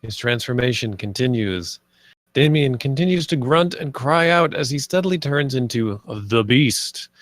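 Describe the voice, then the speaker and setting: Male narrator for screenplay directions, medium pitch, close dry sound, scripted delivery. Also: expressive, normal speed, no echo. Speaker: narrator reading stage directions.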